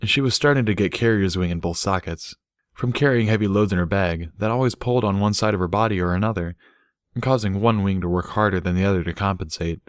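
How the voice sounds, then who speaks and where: A man's voice: low-pitched, quick pace, clear, resonant tone, no echo, studio quality. A man reading scripted fiction as a storybook narrator.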